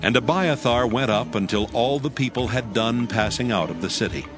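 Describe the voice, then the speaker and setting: A man narrating a dramatized scripture reading in a solemn, measured manner. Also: mid-range voice. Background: music.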